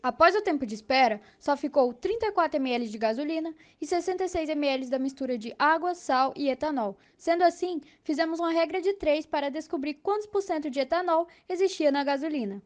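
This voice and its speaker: A woman's voice: high voice, a little fast, few pauses, close to an everyday voice. A woman with a Brazilian accent voicing a patient female inspector who explains step by step in a scripted educational cartoon. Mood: explanatory.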